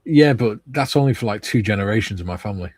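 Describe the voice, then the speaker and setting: A man from Wales with a lowish, slightly husky voice chats in a dry, wry way while building a model. The sound is close and dry, with almost no room around him. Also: lively intonation, quick pace, a little mellow, no echo. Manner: dry, wry, reads out viewer comments. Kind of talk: casual live-stream chat.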